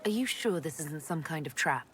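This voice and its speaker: A woman's voice: low-pitched, expressive, moderate pace, a bit bright. A woman voicing the frank, questioning leader of a fantasy order in a video game, in a direct and skeptical manner. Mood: suspicious. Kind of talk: video game dialogue acting. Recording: clear background noise, game ambience.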